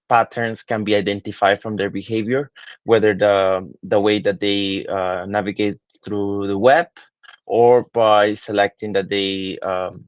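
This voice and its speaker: A man's voice: lowish voice, no echo, lo-fi sound. A man in his twenties from Peru, a digital marketing consultant answering interview questions thoughtfully, with many fillers and restarts. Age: 20s.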